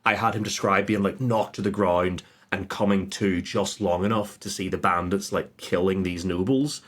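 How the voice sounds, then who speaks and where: A husky-voiced man chatting casually and anecdotally as a game master guest. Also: sits low, fairly even intonation, normal speed. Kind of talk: casual anecdotal chat, with scripted dramatic trailer narration.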